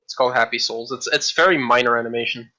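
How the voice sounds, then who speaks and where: A man's voice: medium pitch, quick pace, pushed and bright. A man co-hosting a casual podcast about games, rambling with frequent restarts and casual swearing. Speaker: podcast co-host. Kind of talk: casual chat.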